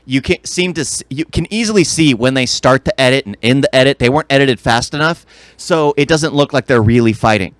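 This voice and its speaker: A man's voice: medium pitch, brisk, dry recording. A man co-hosting a podcast, chatting casually about films and acting out his own reactions as he retells them. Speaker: podcast co-host reviewing movies. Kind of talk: casual film chat.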